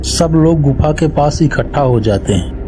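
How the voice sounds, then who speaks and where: Brief: steady male storybook narrator, over clear background music. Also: fairly high pitch, measured pace. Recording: music playing underneath.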